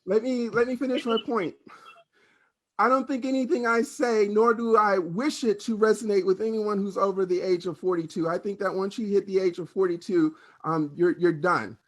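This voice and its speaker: A man's voice: high-pitched, fairly even intonation, normal speed. A young man from Miami and New York, insistently pushing his point in a heated panel debate while others talk over him. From born in Miami, raised in New York. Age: young adult. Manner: insistent, talks over interruptions.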